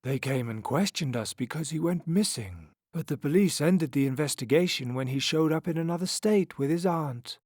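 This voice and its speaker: Man voicing a defensive, high-toned fortune teller. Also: expressive, moderate pace, hardly any pauses, a little mellow, dry recording, studio quality. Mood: explanatory.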